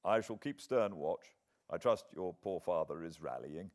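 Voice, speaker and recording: A British man narrating a classic novel as an audiobook, with measured, expressive dramatic storytelling. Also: sits low. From Britain.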